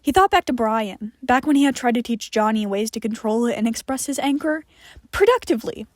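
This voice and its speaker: Female narrator for fan fiction audio, higher register, very dry recording. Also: expressive, brisk, no room sound. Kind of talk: scripted narration of a story.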